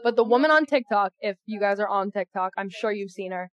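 A woman's voice: mid-range voice, fairly quick, dry recording, highs cut off. A young woman from Boston with a Boston accent, co-hosting a podcast in casual chat, gushing and emphatic. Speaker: podcast co-host.

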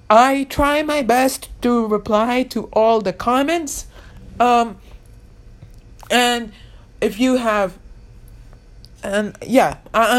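Earnest rambling teenage girl, slightly high. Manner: rambling, many fillers and restarts; earnest, keeps insisting she means it. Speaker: podcast host and YouTuber. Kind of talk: casual solo podcast chat.

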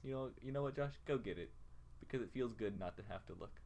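A man's voice: mid-range voice, lively intonation, fast talker, creaky, darkish tone. A young man in casual conversation as a podcast co-host, earnestly explaining himself. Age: young adult. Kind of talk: casual chat.

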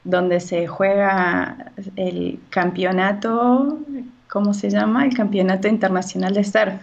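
A woman's voice: mid-range voice, steady tone, slow, vocal fry, dull audio. A woman from Chile, a real estate agent giving hesitant interview answers full of fillers and restarts.

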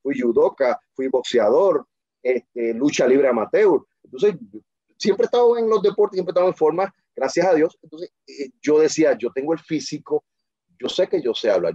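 A self-assured man from the Republic, a guest telling stories in a casual interview, emphatic and full of quick restarts. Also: medium pitch, lively intonation, dark tone, slightly rough, no room sound. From Dominican Republic. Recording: muffled dry lo-fi sound.

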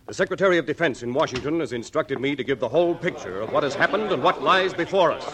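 Grave, commanding American army general. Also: male voice, fairly high pitch, lively intonation, fast talker, a bit bright, slight background noise. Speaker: actor in a radio drama. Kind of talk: 1950s radio drama acting.

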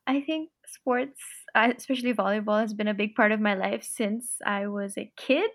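A young woman from Trinidad and Tobago who is a university student, chatting as a podcast guest in a bubbly, quick-to-laugh way. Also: mid-range voice, dry recording, clean studio sound. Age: young adult. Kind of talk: casual conversation.

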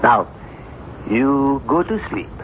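Brief: authoritative, skeptical physician, emphatic and highly animated, muffled vintage radio play. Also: male voice, slow pace. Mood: brisk, probing. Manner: clipped, emphatic, theatrical questioning. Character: brusque, skeptical, authoritative male doctor. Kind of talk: 1970s radio drama acting. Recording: muffled, noisy 1970s radio broadcast.

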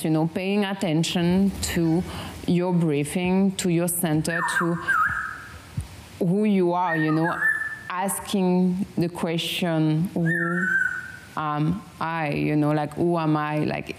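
Low-voiced French woman, slow and halting. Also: background noise. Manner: halting, searching for English words, frequent fillers. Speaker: meditation channel host. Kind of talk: casual guided meditation chat.

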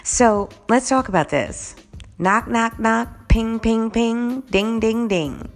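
A woman's voice: on the high side, takes it slowly, soft background music. A woman hosting her own podcast with a slightly groggy voice, chatting casually and often checking in with "right?". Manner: warm, conversational, says "right?" and "y'all" a lot. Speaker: podcast host. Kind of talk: casual solo podcast talk.

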